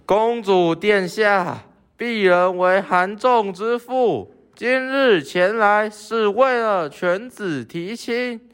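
A boy playing a humble, slow-talking father. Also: high-pitched, fairly bright tone, clean tone. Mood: calling out. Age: teenager. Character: dutiful, humble father of a young scholar.

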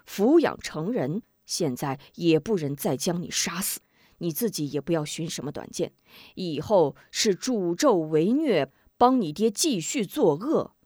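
A woman voicing a stern but kindly old grandmother, a martial elder in a told story, speaking in a grave, measured way. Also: fairly low pitch, a little mellow, no room sound, studio quality. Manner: grave, measured scolding with chuckles.

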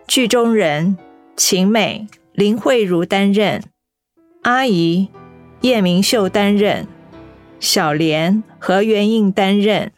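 A woman announcer reading a radio drama's cast and crew credits in a formal, measured way. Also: medium pitch. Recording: over soft instrumental music.

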